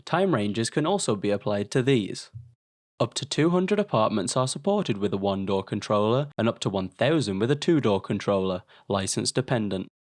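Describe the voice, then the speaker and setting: A man's voice: fairly low pitch, lively intonation, moderate pace, dry recording, studio quality. A man reading a scripted promotional product narration in a polished, informative presenter's delivery.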